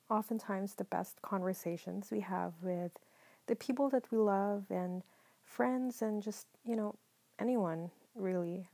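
A woman from the Philippines hosting a solo podcast, talking in a calm, mellow way, partly whispered, with many ums. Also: mid-range voice, gravelly, dry recording. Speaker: podcast host. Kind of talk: soft-spoken solo podcast monologue.

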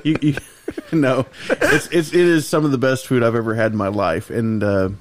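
Easygoing male co-host, enthusiastic and joking, mid-pitched. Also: fairly even intonation, moderate pace. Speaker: podcast co-host. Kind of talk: casual chat.

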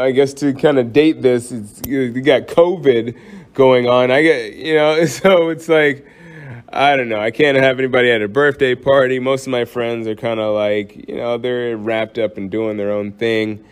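Guy hosting his podcast, just rambling and joking around, average pitch. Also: normal speed. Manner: rambling, joking, frequent fillers and restarts. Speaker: podcast host. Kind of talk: casual solo podcast chat.

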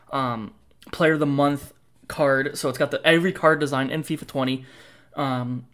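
A man's voice: medium pitch, fairly bright tone. A young man hosting a podcast, chatting casually with lots of fillers and reading a sponsor plug. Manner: easygoing, filler-heavy. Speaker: podcast host.

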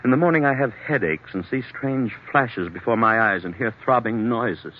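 A man's voice: mid-range voice, animated, normal speed, close to an everyday voice. An actor playing an anxious, troubled businessman in a 1940s radio drama, speaking hesitantly and earnestly on a dull old recording. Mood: weary, earnest. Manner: hesitant, earnest, agitated when challenged. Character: troubled, anxious businessman suffering blackouts, confiding in a doctor.

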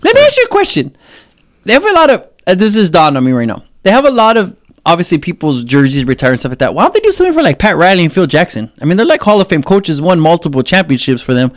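Excitable man with a squeaky high voice. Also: fast. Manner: excitable, swears a lot, voice jumps high. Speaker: podcast co-host. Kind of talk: casual sports chat.

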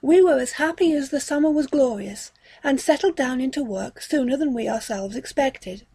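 A woman reading a classic story aloud as an audiobook, in a measured, literary manner. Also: sits high, warm tone, slightly rough, no room sound. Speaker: audiobook narrator. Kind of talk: scripted narration of a classic ghost story.

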